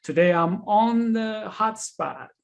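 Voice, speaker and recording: A man from Ethiopia with an Ethiopian accent, speaking as a guest in an interview and explaining his background in an earnest, deliberate way. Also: sits high, animated, creaky. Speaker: guest, leadership coach and author. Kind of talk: interview answers.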